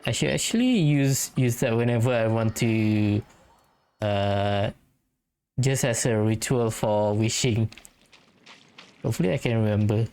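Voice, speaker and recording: A man's voice: lowish voice, a little slow, pauses often, a little mellow, clear, resonant tone, soft background music. A man giving casual gameplay commentary, musing aloud in a chatty way to his viewers. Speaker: gaming streamer.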